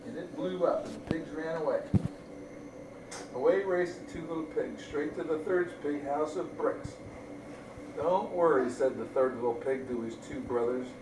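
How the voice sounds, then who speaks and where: A man's voice: on the high side, moderate pace. An older man, a grandfather, reading a bedtime storybook aloud to young children. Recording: roomy, distant mic, faint noise.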